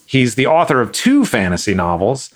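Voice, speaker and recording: A man hosting a casual interview podcast, his voice pitched in the ordinary middle range. He talks in a friendly, chatty way, full of fillers and small restarts as he introduces his guest and asks questions. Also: huge pitch swings, moderate pace. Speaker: podcast host.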